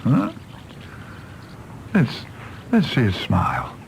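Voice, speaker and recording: Radio drama role: a world-weary British doctor, wry philosopher, gravelly and widely swooping in pitch. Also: male voice, huge pitch swings, slow pace, slight background noise, heavily acted. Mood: gruff, soothing. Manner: wry, rambling, theatrical musing. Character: cynical, world-weary doctor, a fallen idealist, teasing and philosophical. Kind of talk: British radio play acting.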